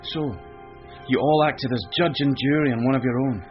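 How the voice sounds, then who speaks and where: An actor playing a polite, earnest and naive British police sergeant in a radio drama. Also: male voice, medium pitch, steady tone, moderate pace, close to an everyday voice. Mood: earnest, questioning. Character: polite, naive, easily shocked young male police sergeant. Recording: muffled, with music behind.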